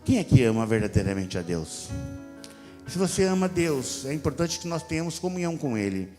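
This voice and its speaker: A man's voice: a little high, sing-song, a little fast, a little mellow. A Brazilian man in his fifties, a pastor, delivering a conversational, emphatic sermon with repetition and rhetorical questions to his listeners. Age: 50s. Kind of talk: sermon, conversational exhortation to a congregation. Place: church hall. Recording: reverberant hall with music underneath. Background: music.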